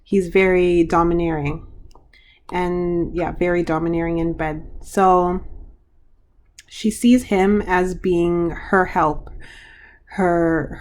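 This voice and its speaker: A hoarse woman giving a pick-a-card reading to her viewers, talking frankly with frequent restarts. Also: lowish voice, a little mellow. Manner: frank, chatty, trailing restarts. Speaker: tarot card reader.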